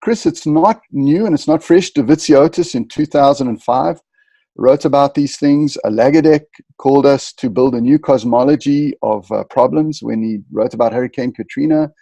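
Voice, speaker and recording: A man from southern Africa, a guest in an interview, explaining leadership ideas in dense, lecture-like answers that trail off quietly at phrase ends. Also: mid-range voice, normal speed, creaky, no room sound.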